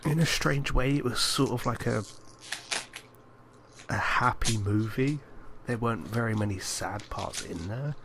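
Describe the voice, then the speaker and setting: A man's voice: mid-range voice, measured pace, gravelly, long pauses. A man co-hosting a podcast, chatting casually about films. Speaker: podcast co-host. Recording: noisy amateur podcast recording.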